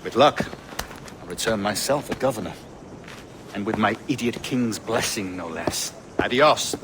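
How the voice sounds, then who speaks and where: An actor playing a swaggering, irreverent sea captain with a British accent in a video game, jaunty and theatrical. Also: male voice, fairly low pitch, measured pace, noisy background, natural voice. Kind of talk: video game cutscene acting.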